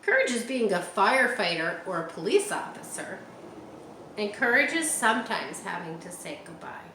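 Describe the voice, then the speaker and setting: A woman reading a picture book aloud to children, stopping often for gentle, chatty asides. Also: mid-range voice, very animated, moderate pace. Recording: echoey room with faint hiss.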